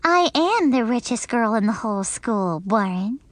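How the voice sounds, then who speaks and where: A woman's voice: fairly high pitch, very animated, moderate pace, dry recording. A woman voicing a vain, stuck-up rich little girl with a haughty, drawling delivery.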